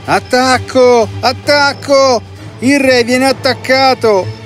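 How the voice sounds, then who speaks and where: A man's voice: very high-pitched, slow. A man playing a brave, earnest and respectful boy hero in a children's fairy tale. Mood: alarmed. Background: music.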